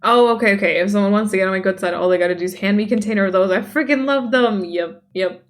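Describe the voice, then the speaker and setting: A woman's voice: medium pitch, quick pace. A young woman from Denmark, chatting casually as she reads out and answers viewer messages on a stream. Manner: chatty, reacts aloud to chat. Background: faint music.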